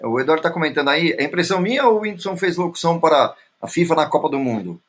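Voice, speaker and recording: Host guy asking stuff fast, bouncy higher voice, crowd noise behind. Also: lively intonation. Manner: eager, quick questions. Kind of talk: casual interview chat.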